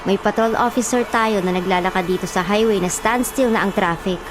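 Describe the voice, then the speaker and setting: A woman's voice: mid-range voice, a little fast, noisy background, natural voice. An actress playing a chatty, caring, nagging young woman companion in a Filipino radio drama, with a Filipino accent. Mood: curious, worried. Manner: talkative, fussing.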